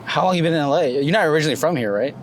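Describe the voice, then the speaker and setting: Fast-talking casual male host. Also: fairly high pitch, lively intonation, slight background noise. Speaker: host and interviewer. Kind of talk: casual street interview chat.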